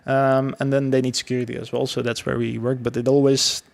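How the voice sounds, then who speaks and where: A man talks as a guest on an interview podcast. His voice has a marked creaky fry, and he tells his story casually, with a halting, hesitant delivery. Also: medium pitch, little pitch movement. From Belgium. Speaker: podcast guest, security professional.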